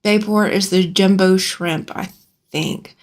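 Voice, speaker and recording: A woman's voice: medium pitch, steady tone, slow. A woman from Alabama, chatting casually on a live stream from her home sewing room as she rambles and answers viewers' comments aloud.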